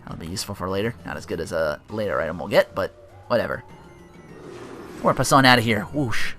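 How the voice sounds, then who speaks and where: Guy doing a casual lets play, bright voice, really lively pitch, swears a bit, game music behind. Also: brisk. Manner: profane, animated. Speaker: let's play host. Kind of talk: casual gameplay commentary.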